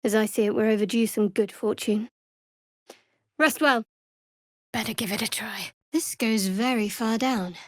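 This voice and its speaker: A woman voicing a guarded, sardonic young cleric of a dark goddess in a video game, with a dry, measured, clipped delivery. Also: fairly high pitch, no room sound. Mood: musing. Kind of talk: video game voice acting, companion dialogue and battle barks.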